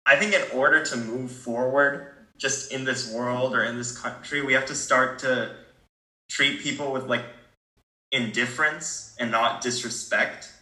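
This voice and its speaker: Teen boy, discussion panelist, bright, earnest, stumbles and restarts, echoey room. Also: medium pitch, steady tone, measured pace. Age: teens. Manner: earnest, repeats words while thinking aloud. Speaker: discussion participant. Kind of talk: casual group discussion.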